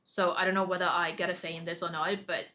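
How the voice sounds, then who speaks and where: A woman's voice: on the low side, level tone, fast talker, bright, forceful tone. Hesitant woman. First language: Chinese. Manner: hesitant, many fillers like "like, uh". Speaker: co-host and interpreter. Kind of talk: casual chat.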